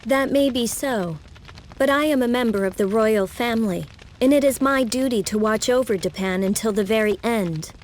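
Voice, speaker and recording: An actress plays a dutiful young princess in a fantasy video game. Her voice is on the high side, gentle yet firm, with formal and earnest phrasing. There is slight background noise. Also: normal speed, natural voice. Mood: earnest, resolute. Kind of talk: English video game voice acting.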